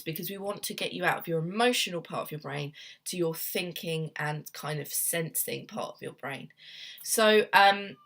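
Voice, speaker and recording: A young woman therapist with a cold, talking casually to camera at her desk at home, gentle and meandering with many ums and restarts. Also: on the low side. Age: young adult. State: has a cold, sniffly. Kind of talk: casual self-help talk to camera.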